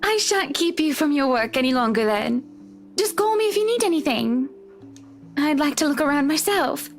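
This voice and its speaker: A woman's voice: high voice, animated, normal speed. A woman acting a courteous, chatty lady in a video game. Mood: polite. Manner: polite, genteel phrasing. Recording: music behind the voice.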